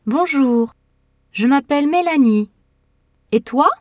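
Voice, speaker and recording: Une femme jouant Mélanie, jeune musicienne enjouée. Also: female voice, sits high, expressive, takes it slowly, a little mellow, dry recording, clean studio sound. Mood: friendly. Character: young female French musician, cheerful. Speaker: voice actor in a language course dialogue. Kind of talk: slow scripted textbook dialogue.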